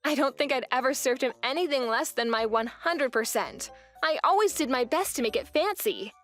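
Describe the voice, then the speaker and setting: A wronged young wife tells her story in a manga dub, acted by a woman. Her voice is very high and swings with indignation and resolve. Light music plays behind her. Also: moderate pace. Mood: matter-of-fact. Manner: expressive, indignant. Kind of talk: scripted manga dub narration.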